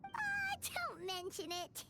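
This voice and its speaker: A woman voicing a tiny, childlike fairy companion in a video game, squeaky, excitable and nervous. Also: takes it slowly, loud music behind the voice, heavily acted. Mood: bashful.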